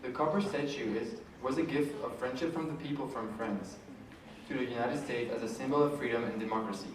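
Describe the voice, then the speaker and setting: A man's voice: medium pitch, brisk, crowd noise. A man reading a scripted informational presentation aloud as a student presenter. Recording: echoey room, distant mic, noisy.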